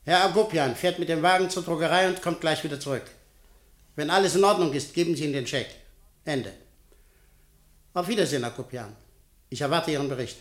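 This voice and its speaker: Dude doing cold bossy newspaper boss, kinda high voice, short clipped lines. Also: male voice, brisk, long pauses, fairly bright tone, lightly acted. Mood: commanding. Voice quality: curt, commanding. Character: cold ruthless male newspaper owner, commanding. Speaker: businessman Butschan. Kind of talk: 1950s-60s radio play acting.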